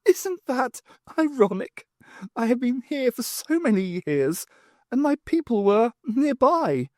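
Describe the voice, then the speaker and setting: A performer voicing an earnest, wistful male alien with a high-pitched voice and a literal, emphatic manner in an audiobook. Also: sing-song, slow pace, no room sound, clean studio sound. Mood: wistful, ironic.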